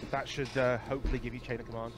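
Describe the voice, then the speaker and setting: A man's voice: mid-range voice, flat delivery, racing. A man chatting casually as a player in an online tabletop game, on a noisy microphone. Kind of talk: casual chat.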